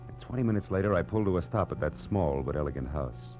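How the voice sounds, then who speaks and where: An actor playing a hard-boiled, weary private detective, narrating and talking in terse, wry wisecracks in 1940s noir radio style. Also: male voice, low-pitched, lively intonation, fast talker, darkish tone. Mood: suspicious. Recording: muffled old radio recording, music behind.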